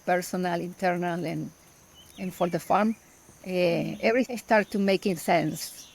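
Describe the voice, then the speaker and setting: Woman speaking halting second-language English. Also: on the low side, gravelly, darkish tone. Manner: halting non-native English. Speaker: guest, permaculture farmer. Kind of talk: casual podcast interview.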